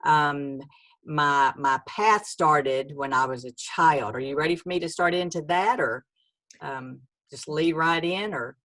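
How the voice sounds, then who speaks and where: A woman's voice: sits low, a little slow, sharp tone, dry recording. A woman in her sixties with a Texan accent, a guest author telling her life story earnestly, with many fillers and restarts. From Texas, USA. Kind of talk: casual personal storytelling.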